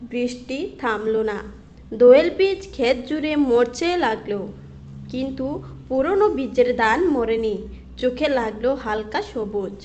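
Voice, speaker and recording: High, lively woman storyteller. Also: a little slow, muffled recording, slight background noise. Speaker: narrator. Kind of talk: scripted fairy tale narration.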